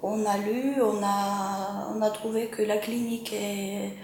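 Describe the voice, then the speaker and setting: Slow, halting woman. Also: mid-range voice, little pitch movement, reverberant, a little hiss or hum. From Ukraine. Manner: hesitant, halting, laughs softly. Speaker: patient. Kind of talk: interview testimony.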